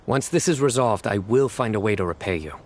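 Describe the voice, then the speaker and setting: An actor playing a stern, reserved young desert warrior investigator who speaks tersely in a video game. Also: male voice, on the low side, expressive, fairly quick, a little hiss or hum, close to an everyday voice. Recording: game music behind.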